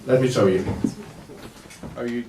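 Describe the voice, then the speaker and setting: A man working as a makeup artist, giving a live demonstration to the press at an indoor event, talking slowly and hesitantly with many fillers and the odd joke. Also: medium pitch. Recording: echoey room, mic far off, faint noise.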